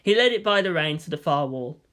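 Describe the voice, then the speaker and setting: Expressive audiobook narrator, medium pitch. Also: a little fast. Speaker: fan fiction audiobook narrator. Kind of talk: expressive scripted story narration.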